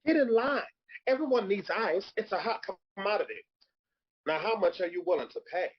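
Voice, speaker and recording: Comedy table read: male actor as sardonic, pointed straight-talker, animated, rough-edged husky voice, dull lo-fi recording. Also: high-pitched, fairly quick. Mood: teasing. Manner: sarcastic, pointed, conversational.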